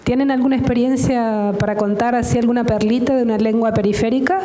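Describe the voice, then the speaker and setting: A woman in the audience asks the panel a question, explaining it at a measured pace. Her voice is warm and darker in tone, and it rings with the echo of a large hall over faint noise. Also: a little high, steady tone. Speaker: audience member asking a question. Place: book fair hall. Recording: roomy hall sound.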